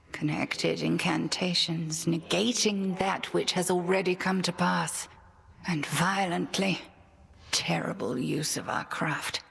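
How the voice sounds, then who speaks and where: A woman voicing a grave, stern, wise witch mentor in a video game, solemn and deliberate, in a long cavernous reverb. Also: on the low side, vocal fry, fairly bright tone, heavily acted. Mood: solemn, ominous.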